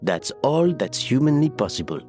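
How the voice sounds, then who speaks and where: A man voicing an earnest, reasoned investigator in a video game, with a measured, explanatory manner. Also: medium pitch, very animated, a little mellow, natural voice. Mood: reassuring. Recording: music behind the voice.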